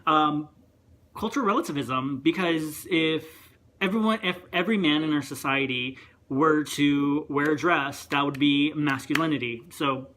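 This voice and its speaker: A young man talks in a casual vlog rant. His voice sits a little high, and strangers sometimes take it for a woman's. He rambles, breaks off mid-thought and circles back. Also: moderate pace, a bit bright, slight background noise. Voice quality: higher voice, sometimes heard as female. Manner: rambling, chatty, self-interrupting. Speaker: vlogger.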